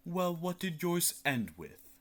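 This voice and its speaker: A man's voice: high voice, sing-song, slow pace, a bit bright, exaggerated voice. A young man acting a petulant, self-pitying king in a radio play, exasperated and theatrical. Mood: petty jealousy. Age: teenager.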